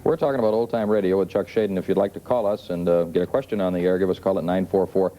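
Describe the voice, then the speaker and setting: A man's voice: sits low, steady tone, racing, poor audio. A man co-hosting a daytime television show with casual on-air chat, recorded on an old tape. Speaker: daytime TV co-host. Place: television studio. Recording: old TV tape, faint noise.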